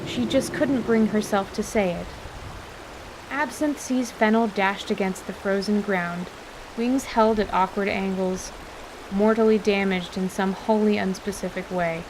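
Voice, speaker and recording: A woman reading scripted story narration for an audio drama in a measured, articulate way. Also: medium pitch, fairly even intonation, background noise.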